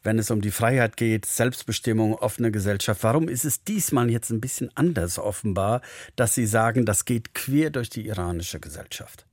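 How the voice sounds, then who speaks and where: A man hosting a radio interview, asking measured, formal questions. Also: on the low side, darkish tone, no room sound, clean studio sound. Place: radio studio.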